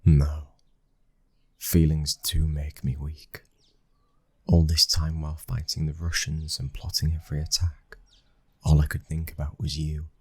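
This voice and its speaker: Very deep, husky British mafia lover played by a man. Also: completely flat delivery, unhurried, dry recording, exaggerated voice. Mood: anxious, confessional. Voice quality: husky, breathy, often near whisper. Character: brooding young mafia boss's son, protective, obsessive lover. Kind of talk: intimate ASMR boyfriend roleplay.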